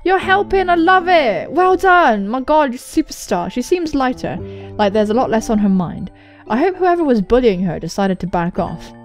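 A young British woman doing game commentary and reading aloud, excitable and sweary, exclaiming often. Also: a little high, moderate pace. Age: young adult. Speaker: gaming video host. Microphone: close studio mic. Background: music.

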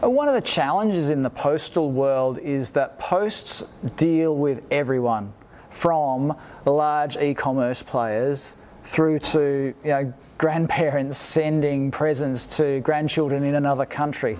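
A man's voice: on the high side, takes it slowly, darkish tone. A man in his forties asking conversational questions as a podcast interviewer. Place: large glass-walled expo hall, indoors. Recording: faint ambient hum of large venue.

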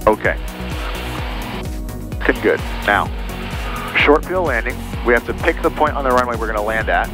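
A man's voice: mid-range voice, lively intonation, normal speed, long pauses, over music. A man working as a flight instructor, giving short repeated commands and encouragement over cockpit intercom audio.

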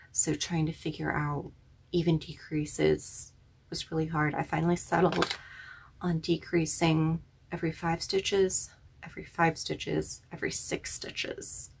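A woman's voice: on the low side, vocal fry, breathy edge. A woman in her thirties chatting casually about her knitting projects at home, self-deprecating and full of ums, recorded on a webcam in a small living room. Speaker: knitting podcast host. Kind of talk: casual knitting vlog chat.

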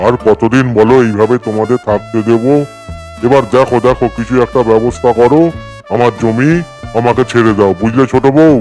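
An actor playing a demanding older village moneylender and landlord in a cartoon. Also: male voice, mid-range voice, fairly even intonation, fairly quick, mellow, soft background music, natural voice. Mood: stern, pressing. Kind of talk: cartoon dialogue.

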